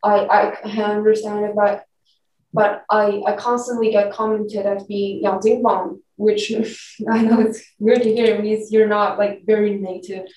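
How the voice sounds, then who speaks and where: A woman's voice: medium pitch, flat delivery, unhurried, darkish tone. Young Chinese woman, echoey distant recording. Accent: Shanghainese-accented Mandarin speaker; English with mixed US and British sounds. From Shanghai, China. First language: Mandarin. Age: young adult. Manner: thoughtful, hesitant, frequent restarts. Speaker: discussion participant. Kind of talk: casual group discussion. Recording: echoey room, distant mic, faint noise.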